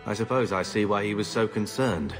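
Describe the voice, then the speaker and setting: An actor playing a gruff young warrior and brooding younger brother in a fantasy game, blunt in manner. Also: male voice, on the low side, brisk, in a large hall, music in the background, lightly acted. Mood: brooding, wistful. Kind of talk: fantasy video game acting.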